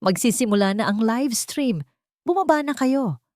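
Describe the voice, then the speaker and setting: A woman's voice: on the high side, expressive, normal speed, darkish tone, dry recording, voice pushed up, lightly acted. Woman playing a bossy rich mother-in-law in an audio story, dry close sound. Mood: brisk, commanding.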